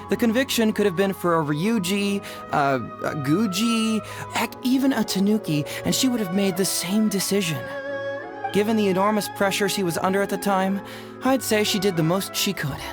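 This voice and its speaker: A confident young detective from a video game, voiced by a man. He talks in a smooth, teasing, glib way, laying out his reasoning with wry assurance, while music plays clearly behind him. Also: high voice, close to an everyday voice. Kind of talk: English video game voice acting. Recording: studio voice under game music. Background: game background music.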